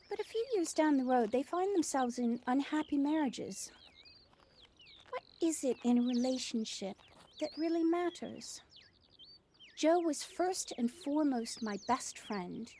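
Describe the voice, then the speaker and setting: A woman's voice: sits high, darkish tone. An actress playing a proud, devoted widowed mother who runs a cafe, speaking earnestly and reflectively in an audio play. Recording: noisy home recording on personal device.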